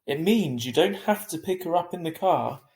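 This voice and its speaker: A man's voice: fairly high pitch, lively intonation, normal speed, gravelly, a little mellow, roomy sound, pitched above the performer's own voice. A man playing an impatient but affectionate father in a storybook reading, emphatic and insistent. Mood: exasperated.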